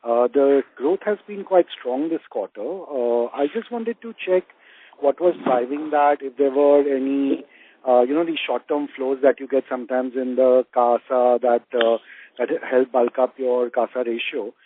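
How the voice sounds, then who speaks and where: A man asking questions as an analyst on an earnings call, speaking in a hesitant, halting way. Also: medium pitch, a little hiss or hum. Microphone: phone conference call. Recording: tinny narrowband phone line.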